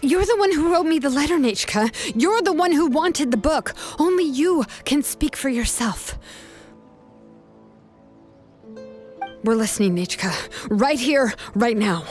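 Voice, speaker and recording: A woman's voice: sits high, expressive, normal speed, hardly any pauses, darkish tone, faint music behind. A woman playing a cool, shrewd and determined young woman in a video game, probing and firm in manner. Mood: urgent, commanding.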